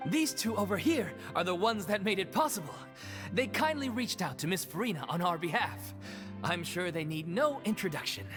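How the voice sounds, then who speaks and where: An actress voicing a polite, earnest member of a theatre troupe who explains things, in a scripted video game style. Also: female voice, mid-range voice, animated, moderate pace, music in the background, natural voice. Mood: formal, upbeat.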